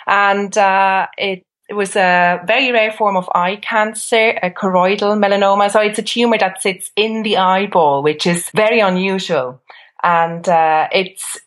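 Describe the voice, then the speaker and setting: A woman's voice: medium pitch, steady tone, measured pace. A middle-aged woman from Switzerland, an interview guest who works as a nutritional therapist, telling her personal story.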